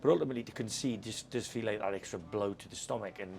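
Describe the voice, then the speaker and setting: A man's voice: fairly low pitch, fast, vocal fry, a little mellow. A man who manages a football club, talking frankly and reflectively in a post-match interview, often repeating words as he thinks. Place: football stadium.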